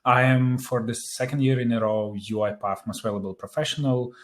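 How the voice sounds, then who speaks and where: A man's voice: medium pitch, steady tone, fairly quick. A man from Slovakia speaking as a panelist in an informal professional introduction. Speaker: panelist, company founder.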